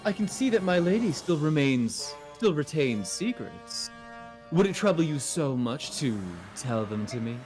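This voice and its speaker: A man's voice: a little high, sing-song, a little mellow, soft background music. A man voicing a smug, scheming nobleman villain in a video game, mockingly courteous and condescending. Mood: menacing. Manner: mockingly courteous, drawn-out and taunting.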